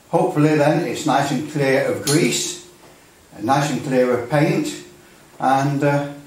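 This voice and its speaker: A very reverberant recording, made some way from the microphone with faint noise, of a man talking through a hobby demonstration. His voice sits at an average male pitch. He speaks informally and hesitantly, working out his explanation as he goes. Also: darkish tone. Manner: halting, unscripted delivery with many hesitations. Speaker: hobbyist presenter demonstrating restoration. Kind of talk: informal how-to narration. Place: hard-surfaced workshop indoors. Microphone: distant camera microphone. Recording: very echoey, distant, noisy room sound.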